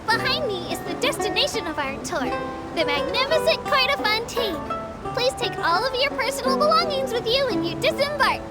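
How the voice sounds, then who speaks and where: A little girlish creature with a very high, lively voice, working as a video game tour guide. She is polite and eager to please, modest and easily flustered, with prominent music playing behind her. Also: normal speed. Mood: cheerful, informative. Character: small childlike female creature with feelers, eager bashful tour guide.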